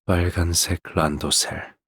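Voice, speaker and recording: An actor playing a soft-spoken, controlling father in a video game, speaking in a gentle, deliberate, coaxing way with quiet menace. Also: low-pitched, steady tone, breathy edge, heavily acted. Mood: quietly menacing. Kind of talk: scripted game voice acting.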